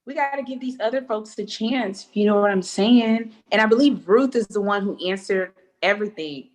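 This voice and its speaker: A young woman co-hosting a casual live stream, playful and animated, laughing often as she reads viewer comments. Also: mid-range voice, moderate pace, rough recording. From United States. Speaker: co-host.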